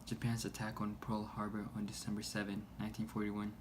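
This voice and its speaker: A man reading a scripted news report aloud in a halting way, stumbling over words. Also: lowish voice, monotone. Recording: noisy amateur recording.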